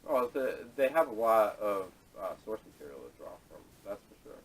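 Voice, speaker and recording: A man's voice: sing-song, moderate pace, husky. A man hosting a casual group chat about movies and games, recorded poorly on a camera microphone. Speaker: podcast host leading the topics. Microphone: camera microphone at a distance. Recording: distant camera microphone, noisy, rumbling.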